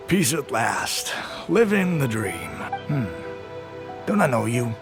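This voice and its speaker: An actor playing a drowsy, aloof, laid-back soldier in an anime game, languid and sighing. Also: male voice, medium pitch, huge pitch swings, extremely slow, over music, exaggerated voice. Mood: weary, laid-back.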